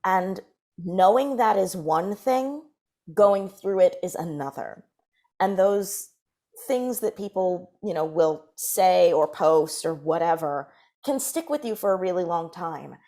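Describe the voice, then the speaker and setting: Woman, slightly low pitch, earnest, emphatic, panel discussion, creator giving advice. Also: expressive, slow pace, darkish tone. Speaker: panelist, fiction podcast creator.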